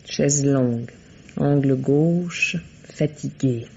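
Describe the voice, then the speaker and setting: A woman's voice: very deep voice, drawn-out words, creaky, warm tone, slight background noise, exaggerated voice. An actress playing an evasive, coquettish woman suspect in a 1950s-style French radio drama, speaking hesitantly and coyly. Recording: dull, lo-fi vintage radio recording.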